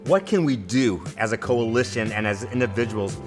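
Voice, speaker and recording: A man's voice: fairly low pitch, lively intonation, normal speed, soft background music. A man acting as host, putting interview questions to a guest and moving the program along.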